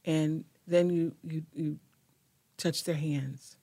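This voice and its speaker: A woman, a guest representing a foundation, speaking in quick, halting bursts with frequent restarts. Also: sits low, fairly even intonation, dark tone, no echo. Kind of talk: casual interview conversation.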